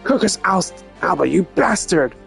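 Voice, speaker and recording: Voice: mid-range voice, expressive, a little slow, creaky, a bit bright, soft background music, voice pushed up, full character voice. A streamer voicing a fierce, hot-tempered male international police agent in a video game, intense and threatening. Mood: vengeful.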